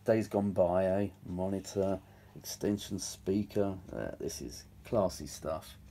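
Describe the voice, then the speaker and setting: A man's voice: deep voice, takes it slowly, mellow. A British man chatting casually and ramblingly as he works on his hobby, in a grumbling, world-weary, mildly sweary manner. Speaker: vintage audio repair hobbyist presenting his own videos. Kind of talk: casual rambling workbench chat.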